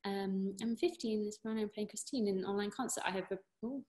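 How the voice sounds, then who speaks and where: British woman, 20s, warm casual Q&A chat, webcam sound from a living room. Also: mid-range voice, quick pace. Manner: chatty, encouraging, many fillers and restarts. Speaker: opera singer answering questions. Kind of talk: casual online Q&A. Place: living room at home, indoors. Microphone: laptop webcam on a video call.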